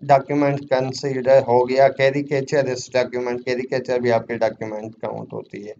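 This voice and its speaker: A man's voice: mid-range voice, little pitch movement, moderate pace, creaky, a little mellow. A Pakistani man teaching in an explanatory lecture style, reading formal text aloud and explaining it, often posing questions and answering them himself. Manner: didactic, asks and answers own questions. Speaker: law exam lecturer. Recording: muffled lo-fi audio with faint noise.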